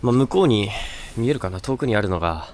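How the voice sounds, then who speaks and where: A man hosting a haunted-spot exploration, talking casually and haltingly as he comments on location outdoors. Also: fairly low pitch, expressive. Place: outdoors by a river floodgate at night. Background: background music.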